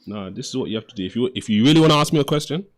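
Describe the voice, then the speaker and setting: A man's voice: mid-range voice, expressive, racing. A man hosting a live call-in debate stream, blunt and pressing with his questions, often repeating himself. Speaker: livestream debate host.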